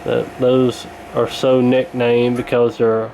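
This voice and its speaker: A man narrating a repair casually in an unhurried, halting, matter-of-fact way. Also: mid-range voice, monotone, gravelly, mellow, lo-fi sound, a little hiss or hum. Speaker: radio repair hobbyist presenting.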